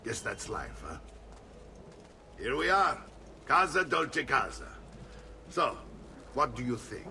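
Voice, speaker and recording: Seasoned Italian mercenary uncle, game role: gravelly, big-hearted, expansive, Italian accent, faint noise behind. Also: male voice, medium pitch, sing-song, takes it slowly, long pauses, pushed and bright, far from the mic, exaggerated voice. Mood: easygoing, genial. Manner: expansive, theatrical, peppered with Italian words. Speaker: character actor in a video game. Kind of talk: dramatic video game acting.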